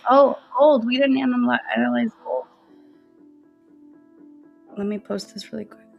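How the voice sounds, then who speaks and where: A woman's voice: a little high, moderate pace, creaky, highs cut off, soft background music. A woman co-hosting a live trading stream, giving casual market commentary.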